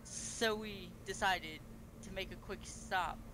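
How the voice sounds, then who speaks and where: A man playing a solemn beastman empress in online game role-play, making a formal, halting speech. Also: high-pitched. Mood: grave storytelling. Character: Empress Dragon, solemn ruler of fantasy beastmen. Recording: noisy amateur game voice chat.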